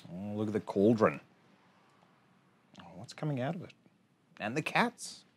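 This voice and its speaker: Very animated man reading to children. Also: fairly low pitch, a little slow, slightly rough. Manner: expressive, sing-song rhyme delivery with chatty asides. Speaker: storytime host. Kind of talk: reading a picture book aloud to children. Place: small indoor space among bookshelves.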